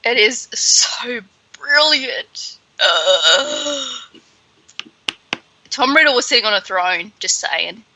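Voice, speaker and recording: A woman's voice: a little high, expressive, slow, pauses often, sharp tone, poor audio. A woman co-hosting a podcast, casually recapping a play in a slangy, sweary, opinionated way. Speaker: podcast co-host.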